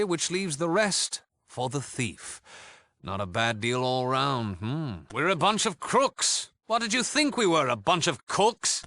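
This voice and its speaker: An actor playing a suave, sardonic British leader of a thieves' guild in a video game, with a flippant, haughty and theatrical way of talking. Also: male voice, fairly high pitch, pushed and bright, dry recording. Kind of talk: video game character dialogue.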